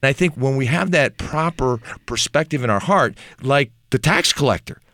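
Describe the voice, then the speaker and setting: A man whose first language is Turkish, a ministry leader speaking earnestly and with self-deprecating humor in a relaxed conversation about faith. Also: medium pitch, normal speed, no room sound. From Turkey. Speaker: ministry leader, podcast guest.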